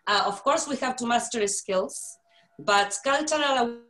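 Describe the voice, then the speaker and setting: A woman teacher on a video call, speaking haltingly and searching for her words. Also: on the high side, a bit bright. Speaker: teacher attending an online meeting. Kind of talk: casual talk on a video call.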